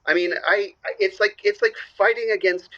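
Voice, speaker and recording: A man's voice: sits high, steady tone, fairly quick, sharp tone, no echo, highs cut off. A man playing an earnest caller ruled by mom's math. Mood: earnest, deadpan. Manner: earnest, hesitant, many restarts. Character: male caller Lewis ruled by his mother's math. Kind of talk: improvised comedy call-in radio acting.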